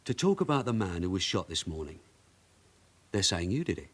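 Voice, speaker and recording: An actor playing a shrewd, middle-aged detective sergeant in a radio crime drama, brisk, persuasive and controlled. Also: male voice, sits low, sing-song. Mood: hard, pressing. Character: shrewd veteran London detective sergeant, wily and insistent.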